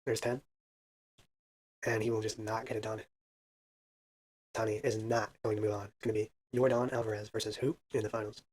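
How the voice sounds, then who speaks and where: Husky-voiced man calling a game. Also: lowish voice, little pitch movement, a little fast, long pauses, a little mellow. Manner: counts hits aloud, self-deprecating asides. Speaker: video game commentator. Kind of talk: casual gameplay commentary. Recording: faint background music.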